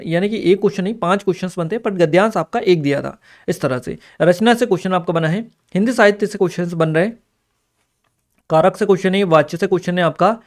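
A man giving an instructive exam-review lesson, reading notes aloud and explaining them as a coaching teacher. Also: sits high. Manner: instructive, repeats key points.

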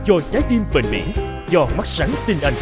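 An announcer delivers scripted advertising copy. The voice has a medium pitch and plays over very loud music. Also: very animated, brisk. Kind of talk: scripted commercial narration.

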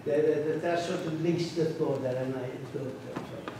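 Older man, halting talk in an echoey hall. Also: on the high side, fairly even intonation. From Turkey. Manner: halting, many restarts and fillers. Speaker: guest speaker. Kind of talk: academic lecture discussion. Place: large lecture room. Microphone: podium microphone, standing. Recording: echoey room, distant mic, faint noise.

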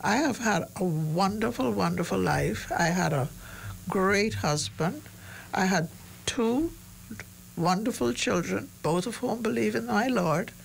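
A woman, a studio interview guest who reminisces gently and pauses to search for names. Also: mid-range voice, animated, gravelly, slight background noise, low-quality recording. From Bahamas. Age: 90s. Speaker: interview guest, retired education leader. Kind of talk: reflective interview conversation. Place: small studio, indoors. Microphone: desk microphone on boom.

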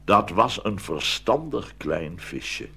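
A man telling a fairy tale as a scripted narrator, with a husky, creaky voice. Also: sits low, big pitch swings, takes it slowly, sharp tone. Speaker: storyteller.

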